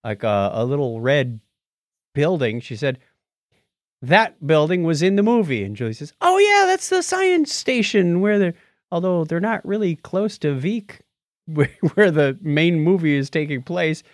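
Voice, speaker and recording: Man, lively swooping intonation, dry close sound, podcast co-host, playful casual chat. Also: on the high side, unhurried, dry recording. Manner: jokey, playful banter.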